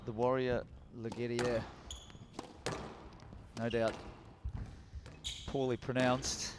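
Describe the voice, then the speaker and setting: A man's voice: mid-range voice, flat delivery, slow. A man commentating on squash in a casual, chatty way, with court noise behind him. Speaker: sports commentator. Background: ball knocks and squash court noise.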